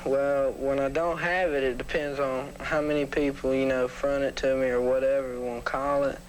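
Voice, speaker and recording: A man's voice: medium pitch, little pitch movement, normal speed, a little hiss or hum, poor audio. A teenage boy in a casual documentary interview, rambling with lots of "you know" and "like". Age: teens. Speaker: interviewed high school marijuana smoker.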